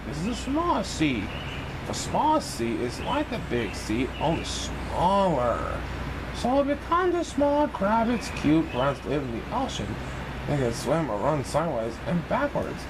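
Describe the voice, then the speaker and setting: High-pitched, wildly animated male storybook reader. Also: measured pace, background noise. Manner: sing-song, expressive delivery for young children. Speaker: storybook reader in a banana persona. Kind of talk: animated read-aloud of a children's picture book.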